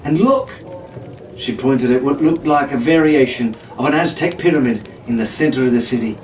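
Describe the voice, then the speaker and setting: A man narrates a storybook from a script in a mid-pitched voice. The room is echoey and he sits some way from the mic, with faint hiss behind him. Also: brisk, a little mellow, far from the mic. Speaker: audiobook reader.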